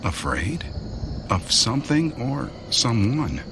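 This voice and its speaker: An actor in a radio drama playing a sinister, smooth-talking stalker with a courtly, menacing and deliberate manner. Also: male voice, medium pitch, very animated, background noise, exaggerated voice. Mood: courteous, probing.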